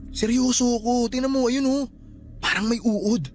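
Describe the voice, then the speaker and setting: A man's voice: extremely high pitch, fairly even intonation, moderate pace, a little mellow. A man acting a superstitious, grieving young friend at a wake in a Filipino radio drama, with a Filipino accent and colloquial speech. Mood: uneasy. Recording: music underneath.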